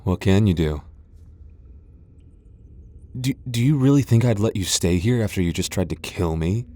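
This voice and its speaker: A man's voice: deep voice, mellow, no room sound. A man playing a proud, guarded tsundere vampire lord in an ASMR roleplay, with a haughty, sardonic and reluctant manner. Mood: exasperated. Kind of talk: ASMR boyfriend roleplay audio drama.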